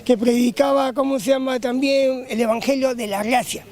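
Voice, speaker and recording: A man interviewed on the street, explaining slowly and searching for his words. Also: very high voice, fairly even intonation, darkish tone. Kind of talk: impromptu explanation.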